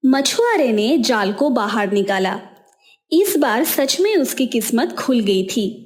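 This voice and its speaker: A woman narrates a scripted moral story for a cartoon. Her delivery is slow and measured, and her voice sits a little on the high side. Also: lively intonation.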